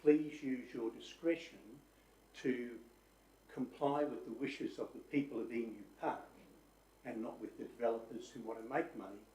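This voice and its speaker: A man's voice: mid-range voice, moderate pace, mellow. Man speaking from the gallery, earnest, pleading, far from the mic, echoey chamber. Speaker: member of the public addressing council. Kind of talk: public deputation.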